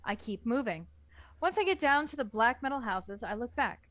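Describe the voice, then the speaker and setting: A woman's voice: a little high, animated, normal speed, fairly bright tone, no room sound. A woman reading scripted first-person story narration as the narrator of an amateur book reading.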